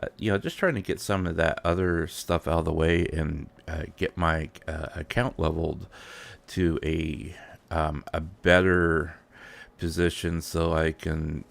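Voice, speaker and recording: A congested man hosting a casual gaming stream, talking in a halting way full of fillers and restarts. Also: a bass voice, flat delivery, creaky. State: congested from allergies. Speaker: streamer host.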